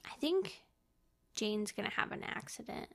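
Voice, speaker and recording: A woman co-hosting a podcast in casual book chat, with a gushing, excitable manner. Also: mid-range voice, measured pace, creaky. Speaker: podcast co-host.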